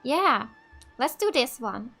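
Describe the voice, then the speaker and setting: A woman's voice: high voice, big pitch swings, slow pace, vocal fry, sharp tone, soft background music. A woman from Finland doing casual let's play commentary, reacting and reading choices aloud.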